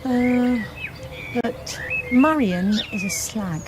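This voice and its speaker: An actress playing a bored, reluctant youngster doing schoolwork in a naturalistic radio drama. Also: female voice, a little high, animated, drawn-out words, noisy background. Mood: bored, grumbling.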